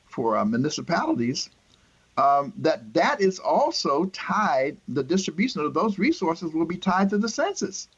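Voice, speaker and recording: A middle-aged man with a husky voice hosts an interview over muffled, lo-fi audio. He hesitates often and restarts his sentences as he works toward a question. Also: a little high, big pitch swings. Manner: halting, searching for words, many restarts. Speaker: host.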